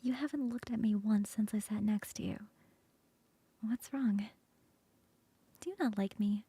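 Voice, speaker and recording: A woman voicing a flirty female coworker in an ASMR roleplay, close to the mic in a soft, playful whisper. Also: medium pitch, a little slow, slightly rough, dry recording. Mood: teasing whisper.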